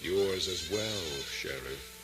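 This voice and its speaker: An actor playing a clever, defiant outlaw prisoner in a radio drama, sardonic and challenging, with reverb around the voice. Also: male voice, deep voice, animated, takes it slowly, loud music behind the voice. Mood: threatening. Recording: reverberant hall sound, faint noise.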